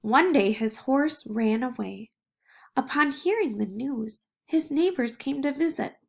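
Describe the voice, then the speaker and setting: A woman reading a children's picture book aloud in an expressive way. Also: high-pitched, measured pace, gravelly, breathy edge. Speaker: storybook reader.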